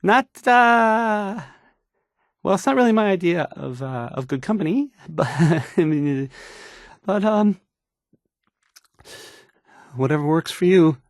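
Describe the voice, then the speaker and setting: An actor playing a nervous, bumbling, insecure young radio DJ in a game, hesitant and stammering through the news. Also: male voice, sits high, animated, slow pace, long pauses, no echo, studio quality, exaggerated voice. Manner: stammering, hesitant, self-doubting. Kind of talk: halting radio news announcing.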